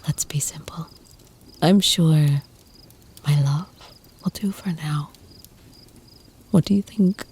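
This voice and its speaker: A woman voicing an ancient, tender and protective female vampire in an intimate ASMR roleplay, speaking softly, half in whispers, with formal, deliberate phrasing. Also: low-pitched, a little hiss or hum. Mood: affectionate, devoted.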